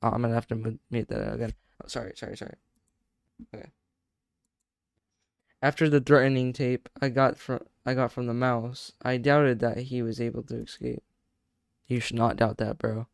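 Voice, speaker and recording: A man's voice: mid-range voice, fairly even intonation, normal speed, vocal fry, long pauses, no room sound, studio quality. A man reading a horror story aloud in a casual, stumbling way, breaking off to react. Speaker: video creator reacting and narrating.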